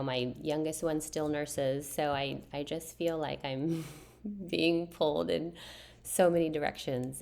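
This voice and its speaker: Very low-pitched, gravelly woman vlogger. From Philippines. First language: a Philippine language. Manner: soft-spoken, hesitant with many fillers and restarts. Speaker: vlogger answering viewer questions. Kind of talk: casual chat to camera.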